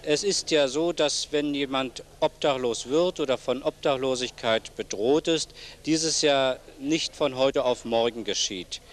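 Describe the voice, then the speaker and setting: A man's voice: mid-range voice, unhurried. A middle-aged man hosting a local TV interview, speaking politely and formally into a handheld microphone. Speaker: host interviewing a guest. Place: seated among plants in a senior citizens' centre. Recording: noisy old TV video sound.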